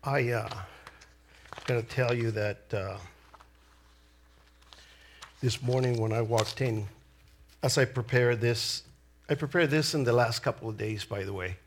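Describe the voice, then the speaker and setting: A middle-aged man from El Salvador with a Salvadoran Spanish accent, whose first language is Spanish, delivering a church sermon in an earnest, deliberate way. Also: mid-range voice, slight background noise. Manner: earnest, slow and deliberate with pauses. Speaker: lay preacher.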